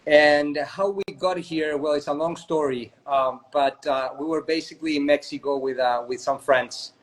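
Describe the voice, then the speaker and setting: A man's voice: on the high side, fairly even intonation, normal speed, low-quality recording. Chatty Chilean-born man on a video call. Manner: chatty, often adds "right?". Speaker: guest, spirits company co-founder. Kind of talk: casual storytelling interview.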